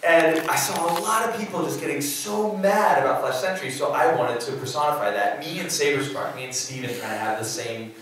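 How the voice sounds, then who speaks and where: A man's voice: fairly high pitch, brisk, hardly any pauses, fairly bright tone. A young man chatting loosely on a convention panel, laughing often and rambling. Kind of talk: casual panel chat. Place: convention hall. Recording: echoey hall, far from the mic.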